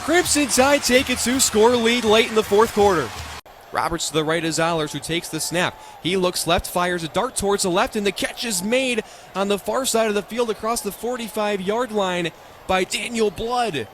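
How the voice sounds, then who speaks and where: A young American man calling live football on the radio as play-by-play announcer, in punchy, clipped, excitable phrases. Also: high-pitched, normal speed. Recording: live stadium ambience noise.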